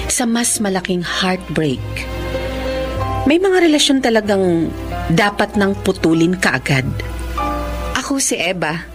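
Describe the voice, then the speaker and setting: A woman's voice: medium pitch, close to an everyday voice. A woman playing a hurt but resolute betrayed wife in a Philippine radio drama, emotional and firm. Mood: reflective resolve. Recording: music behind the voice.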